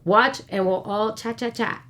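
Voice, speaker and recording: A woman chatting casually and excitably as a panelist on a recap show, with a playful, slangy manner. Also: on the high side, measured pace, very noisy.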